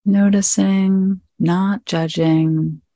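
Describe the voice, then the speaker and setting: Slow-speaking woman guiding a meditation. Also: mid-range voice, fairly even intonation, dry recording. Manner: unhurried, gently inviting, short phrases with long pauses. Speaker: meditation guide. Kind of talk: guided meditation, slow spoken instruction.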